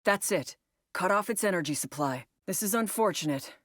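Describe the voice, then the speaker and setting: A military woman leading a squad in a sci-fi shooter game. Her voice is on the low side and recorded very dry. She gives mission orders in a clipped, instructive manner. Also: lively intonation, moderate pace, a bit bright, dry recording. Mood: focused, commanding. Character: female military operative briefing squad on alien parasite missions. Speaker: mission briefer and incursion leader. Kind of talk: scripted video game mission briefing.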